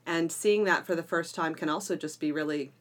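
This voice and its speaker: A woman's voice: deep voice, fairly quick. A middle-aged woman talking conversationally as a podcast co-host, explaining things with emphatic phrasing. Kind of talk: conversational educational podcast discussion.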